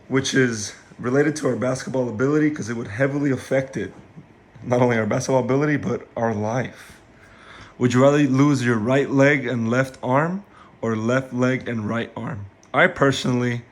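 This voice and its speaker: A young man hosting a casual podcast with friends, reading out his questions from a script. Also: medium pitch. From Mexican-American from West Texas. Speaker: podcast host.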